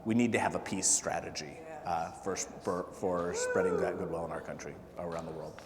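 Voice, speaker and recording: A man with a fairly low voice talks to a gathered group, stopping often with fillers and restarts. His words ring in an echoey hall with a long tail of reverb. A faint hiss of noise sits under the recording. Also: fairly even intonation. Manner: halting, many fillers and restarts. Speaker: political candidate. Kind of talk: town hall talk.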